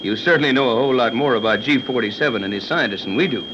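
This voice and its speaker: Fast-talking male captain played by an actor, steady, practical, plain-spoken, old radio serial, noisy muffled 1930s recording. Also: medium pitch, close to an everyday voice. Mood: steady, brisk. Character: steady, practical ship's captain, man of action.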